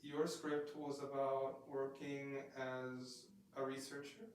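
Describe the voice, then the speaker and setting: A man acting as a speaking tutor, giving encouraging, gently instructive feedback in a practice session. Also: medium pitch, flat delivery, takes it slowly. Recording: very echoey room, distant mic, faint noise.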